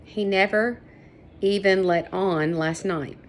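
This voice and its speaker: A woman's voice: medium pitch, fairly even intonation, slow pace, slight background noise, lightly acted. A woman voicing a curious, worried young girl in a storybook, earnest and questioning. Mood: amazed. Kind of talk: storybook character voice.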